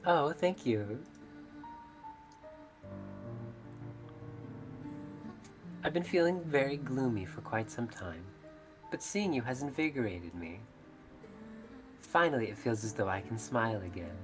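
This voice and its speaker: Woman voicing a gentle, lonely mermaid over music. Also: very deep voice, animated, normal speed. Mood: grateful, relieved. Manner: formal, gentle, earnest phrasing. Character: lonely gentle mermaid, grateful and wistful, fond of humans. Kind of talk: visual novel character voice acting. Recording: music playing behind the voice.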